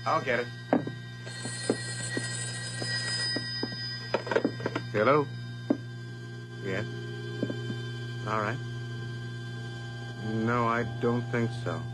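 An actor playing an outwardly reasonable, evasive friend in a radio horror drama, hesitant and soothing. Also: male voice, medium pitch, takes it slowly, long pauses, over loud music, natural voice, low-quality recording. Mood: casual.

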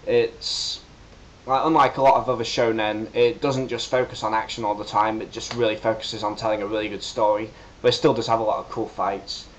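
A young man with a lowish voice talks into a webcam in his living room. He lists favorites eagerly, pausing to think aloud between items. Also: flat delivery, slight background noise, rough recording. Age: young adult. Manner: enthusiastic listing, thinking aloud. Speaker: fan voice actor. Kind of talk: casual webcam interview answer.

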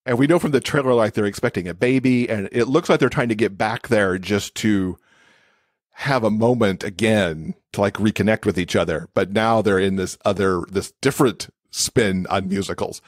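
A man with a fairly low voice chats as a podcast guest. He is casual and enthusiastic about what he describes. The recording is dry and free of room sound. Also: normal speed, no room sound. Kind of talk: casual chat.

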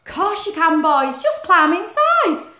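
A woman voicing excitable, friendly animals and children from a picture book, loud and singsong. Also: extremely high pitch, slow pace, voice pushed up, heavily acted. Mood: cheerful, eager. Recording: roomy echo of a living room.

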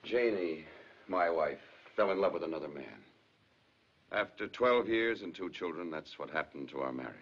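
An actor playing a troubled, hurt married father in a 1950s film drama, speaking in a halting, polite, restrained way. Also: deep voice, expressive, a bit bright, exaggerated voice. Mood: pained. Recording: dull, lo-fi 1950s film soundtrack.

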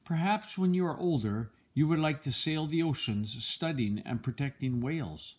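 An older man with a husky voice reads a children's picture book aloud in a gentle, measured storytelling manner. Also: fairly high pitch, animated. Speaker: storybook reader, a grandfather.

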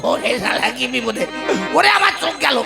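A man playing a frantic old husband in a comic puppet play, pleading melodramatically in a creaky, gravelly voice. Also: extremely high pitch, sing-song, normal speed, exaggerated voice. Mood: frantic wailing. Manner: wailing, repetitive, melodramatic pleading. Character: frantic old husband trapped between two wives. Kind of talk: comic Bengali puppet theatre acting. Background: loud accordion music.

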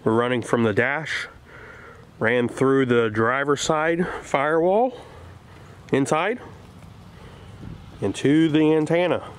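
A man's voice: medium pitch, takes it slowly, long pauses. A man hosting a casual hands-on how-to vlog, explaining in short plain steps into a handheld camera. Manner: plainspoken, short step-by-step phrases. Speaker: DIY vlog host. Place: outdoors in a yard and in a parked vehicle. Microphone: handheld camera at arm's length. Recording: clear ambient noise from handheld camera.

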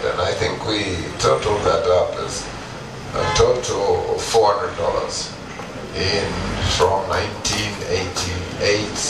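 A middle-aged man, an entrepreneur, giving an earnest, emphatic stage talk with pauses and restarts on a headset mic. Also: sits low. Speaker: entrepreneur guest speaker. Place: event stage with audience. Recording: echoey room, distant, background noise.

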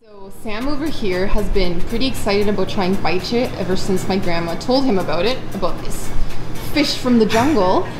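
A woman's voice: mid-range voice, moderate pace, over loud music, poor audio. A woman hosting a travel food vlog, chatting casually to camera with playful asides.

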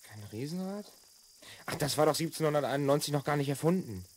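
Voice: mid-range voice, lively intonation, quick pace, a little hiss or hum, close to an everyday voice. A performer playing an assured, explanatory twelve-year-old boy from the future in a children's radio play.

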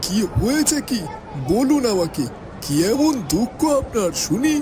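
Man voicing a high-pitched, concerned young man. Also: big pitch swings, unhurried, crowd noise, exaggerated voice. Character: concerned younger man questioning a weeping stranger. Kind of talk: cartoon voice acting. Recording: music behind the voice.

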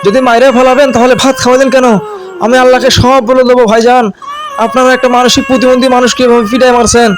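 Guy doing a meek simple cartoon man, super high voice, bangladeshi accent, scared and begging. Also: level tone, fast. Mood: tearful, reproachful. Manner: pleading, whimpering, repeatedly addressing elders respectfully. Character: pleading, frightened, simple-minded orphan man being accused. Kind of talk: comedy cartoon voice acting.

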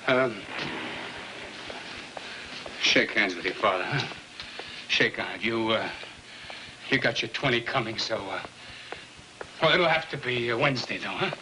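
Middle-aged American man acting, weary nagging father, halting delivery, average pitch, 1950s film drama, noisy clicking soundtrack. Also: expressive, lightly acted. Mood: defensive, halting. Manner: halting, hesitant, with trailing tag questions.

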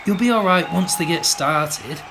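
An actress playing a practical, matter-of-fact football enthusiast in a radio play. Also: female voice, lowish voice, a little slow, fairly bright tone, a little hiss or hum.

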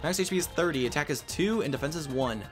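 Higher-pitched man commentating over game music. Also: animated, fast. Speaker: let's player.

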